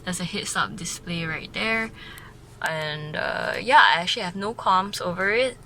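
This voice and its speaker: A young woman giving a casual car review, chatty and excitable, with bursts of delight. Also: on the low side, unhurried, slight background noise. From Malaysia. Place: in and around a car.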